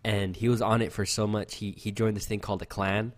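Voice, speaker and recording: Low-voiced young man rambling casually. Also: little pitch movement, fairly quick, no echo. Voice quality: sounds youthful, like a teenager. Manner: rambling, loose storytelling with lots of fillers and swearing. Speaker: podcast co-host. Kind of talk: casual chat.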